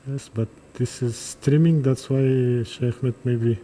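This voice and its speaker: Slow, dark-voiced man. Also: medium pitch. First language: Kazakh. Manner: calm, halting, thinking aloud step by step. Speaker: surgeon. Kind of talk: live commentary during a streamed procedure. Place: operating room.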